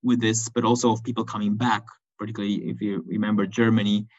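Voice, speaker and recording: A man presenting at an academic conference, speaking haltingly with many restarts and fillers. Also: fairly low pitch, little pitch movement, darkish tone. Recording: dull lo-fi recording.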